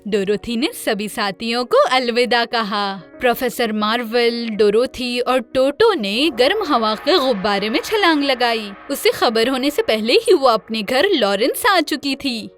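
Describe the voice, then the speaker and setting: A woman's voice: a little high, animated, a little slow. A woman narrating a scripted children's fairy tale as a storyteller. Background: background music.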